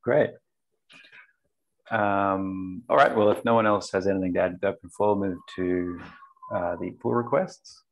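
A man's voice: deep voice, a little slow, vocal fry, lo-fi sound. A man hosting an online community meeting in a casual, informal way. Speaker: meeting host. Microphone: video call.

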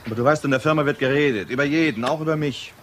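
A man acting as a blunt male detective in a radio crime play. He talks fast and colloquially, with a suspicious, irritable edge, over clear background noise. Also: a little high, lightly acted. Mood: probing. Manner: brusque, colloquial.

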